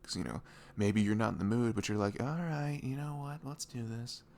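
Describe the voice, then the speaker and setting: A man playing a sweet, caring boyfriend in an intimate ASMR roleplay, speaking softly and tenderly in a velvety, often breathy voice with earnest, rambling reassurance. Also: mid-range voice, moderate pace, a little hiss or hum, lightly acted. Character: sweet, caring, devoted young boyfriend talking in bed.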